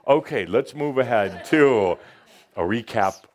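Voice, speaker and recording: A man's voice: medium pitch, lively intonation, a little slow, crowd noise. A middle-aged man co-hosting a live studio show in casual chat, joking and laughing often. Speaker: co-host.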